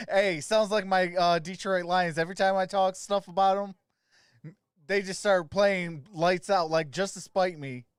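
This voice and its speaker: A man's voice: high voice, steady tone, takes it slowly, long pauses, clear, resonant tone, no echo, clean studio sound. A man hosting a sports livestream, mixing casual, friendly chat with viewers and informal play-by-play commentary. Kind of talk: casual live stream chat and game commentary.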